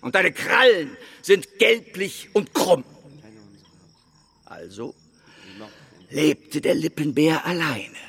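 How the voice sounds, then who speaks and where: Slow, fervent preacher in a reverberant hall. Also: male voice, a little high, big pitch swings, gravelly, fairly bright tone, breathy edge, exaggerated voice. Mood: solemn storytelling. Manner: declamatory, drawn-out, solemn. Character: fervent reverend preaching a strange animal parable. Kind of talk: radio drama sermon.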